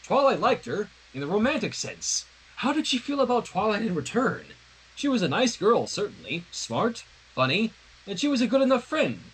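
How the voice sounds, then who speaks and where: A man hosting a fan fiction reading, expressively narrating the story with casual asides in a slightly husky voice. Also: high-pitched, moderate pace, a little hiss or hum, poor audio. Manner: expressive, acts out dialogue. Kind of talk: dramatic read-aloud of a story with casual commentary.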